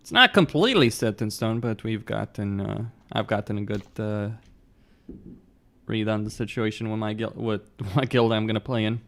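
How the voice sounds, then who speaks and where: A man's voice: lowish voice, normal speed, vocal fry, a bit bright. A young man from Romania, streaming a game and chatting bluntly with viewers, laughing often and swearing freely. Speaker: gaming streamer. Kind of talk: casual live-stream chat, answering viewers.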